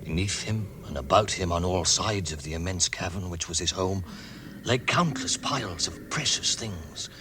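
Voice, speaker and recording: An actor playing a grave storyteller, narrating a vintage radio drama in a measured, portentous way. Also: male voice, low voice. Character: grave, dramatic male storyteller of an epic tale. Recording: vintage radio, loud music behind.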